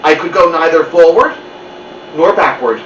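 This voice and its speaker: Animated man reading a story, muffled and roomy. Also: on the high side, moderate pace, slight background noise. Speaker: story-time reader. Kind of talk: animated children's book narration. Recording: muffled, roomy, distant mic.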